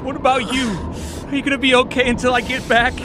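A frightened young companion, played by a woman, pleads in a high, anxious voice. The audio drama recording has clear noise. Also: level tone, quick pace, lightly acted. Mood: frightened, pleading.

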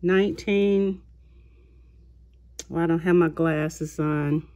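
A woman hosting a haul video of her craft purchases, talking in an unhurried way with many small pauses and fillers. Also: low-pitched, fairly even intonation, darkish tone. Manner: slow, unhurried, halting with fillers. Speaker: craft channel host. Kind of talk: show-and-tell haul video. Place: indoors at a tabletop, voice-over of hands.

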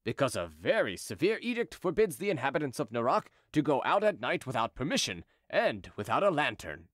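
A man's voice: mid-range voice, very animated, fairly quick, fairly bright tone, no room sound, studio quality. A man voicing a young patrol officer, grave, formal and polite, in an audiobook. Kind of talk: audiobook character dialogue.

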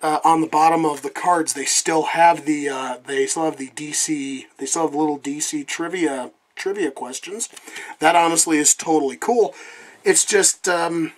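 Rambling man with a lingering cough. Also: fairly high pitch. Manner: rambling, loose, swears freely. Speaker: trading card reviewer. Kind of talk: casual card review vlog.

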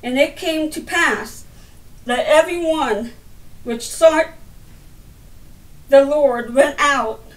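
A middle-aged woman reading the Bible aloud as a sermon, in deliberate broken phrases with stumbles over the words. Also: high voice. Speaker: lay preacher reading scripture. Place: indoor room by a window. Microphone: camera a little way off. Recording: roomy, distant camera microphone.

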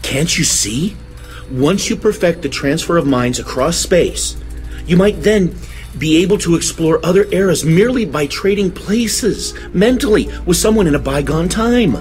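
A man playing a troubled, genteel suspect in an audio horror drama, halting, formal and earnest. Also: on the high side, expressive, darkish tone, soft background music, natural voice. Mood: desperate, urgent. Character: troubled, genteel man confessing to police, desperate.

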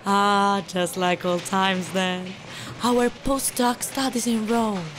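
A woman's voice: mid-range voice, fairly even intonation, takes it slowly, a bit bright, a little hiss or hum. An actress playing a friendly female scientist in an audio drama. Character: warm Italian female scientist.

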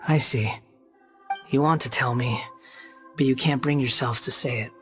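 A man voices a youthful wanderer, composed and guarded. He speaks formally and with measured reserve, in video game drama style, with faint music behind him. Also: medium pitch, fairly bright tone, close to an everyday voice. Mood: resolute. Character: young male wanderer with lost past, polite, composed, guarded.

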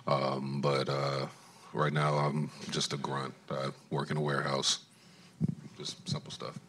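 A man's voice: very deep voice, monotone, a little slow, a bit bright, breathy edge. A man in his forties from Alabama, a plain-spoken, casual panelist. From Huntsville, Alabama. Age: 40s. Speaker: panelist, warehouse worker. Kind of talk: panel discussion. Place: large conference stage. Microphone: handheld mic.

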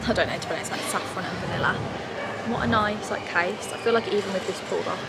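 A woman's voice: medium pitch, quick pace. A woman in her twenties with an English accent, chatting informally to camera in a casual vlog style, often dropping in "like". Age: 20s. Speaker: vlogger. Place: parked car, later shop, moving car, kitchen. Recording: clear ambient background noise.

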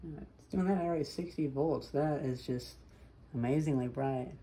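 A woman's voice: deep voice, moderate pace, mellow, rough recording. A young adult woman chatting casually and off the cuff, musing aloud as she demonstrates things. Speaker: hobbyist vlogger demonstrating old light bulbs. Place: living room at home, indoors. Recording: clear background noise, home camera recording.

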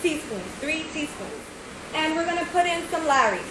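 A woman in her thirties talks through a home recipe step by step, chatty and relaxed, often asking "right?". Her voice sits high for a woman. She is recorded on a phone in a home kitchen that rings with echo, with steady background noise behind her. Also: normal speed. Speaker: home cook host. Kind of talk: casual cooking demo. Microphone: phone camera at arm's length.

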